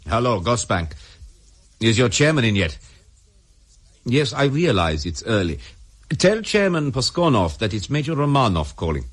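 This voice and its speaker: An actor playing a cool, calculating Soviet major in a radio drama, husky and controlled, with an insinuating manner. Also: male voice, medium pitch, big pitch swings, normal speed, pauses often. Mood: cool, conspiratorial.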